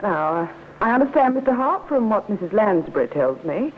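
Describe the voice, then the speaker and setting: An actress playing a brisk, coolly polite police sergeant in a formal 1950s radio drama style. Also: female voice, mid-range voice, big pitch swings, natural voice. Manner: formal, businesslike, clipped. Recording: tinny, noisy 1950s radio recording.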